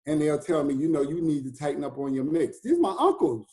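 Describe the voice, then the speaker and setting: An American man in his fifties, a DJ and hip house artist, talking in a relaxed conversation and laughing easily. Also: fairly high pitch, fast. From United States. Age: 50s. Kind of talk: casual conversation.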